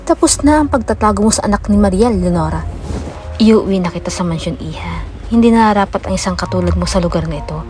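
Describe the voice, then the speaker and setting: A woman's voice: medium pitch, animated, fast talker, a little mellow, natural voice. A woman voicing a menacing, haughty rich old man in an audiobook drama, commanding and smug. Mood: stern. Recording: music behind the voice.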